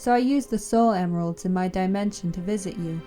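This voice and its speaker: A woman playing a calm, firm and encouraging heroine in an audio drama, with an earnest, composed manner. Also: medium pitch, brisk, soft background music, close to an everyday voice. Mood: firm, reassuring. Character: calm, firm, encouraging female friend from another dimension. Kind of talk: fan audio drama acting.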